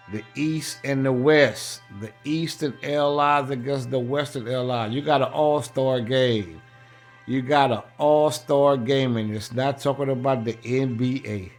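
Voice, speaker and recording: An American man preaching in a colloquial, emphatic and repetitive way, reading scripture aloud and adding his own running commentary. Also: mid-range voice. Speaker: street-style Bible preacher.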